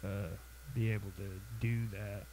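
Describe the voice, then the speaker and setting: A man with a cold hosting a casual software tutorial, halting and full of fillers and restarts. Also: fairly low pitch, level tone, background noise. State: has a cold, sniffly. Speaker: tutorial channel host.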